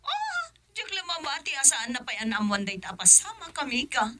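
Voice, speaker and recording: A woman acting an excitable, alarmed madam in an Ilocano radio drama. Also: sits high, normal speed, full character voice.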